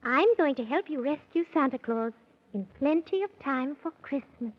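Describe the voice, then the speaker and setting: A woman's voice: high-pitched, expressive, moderate pace. A woman playing a gracious, whimsical young queen, grand and matter-of-fact, in a 1930s children's radio serial. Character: gracious, regal, whimsical young queen of Looking Glass Land. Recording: muffled 1930s radio recording.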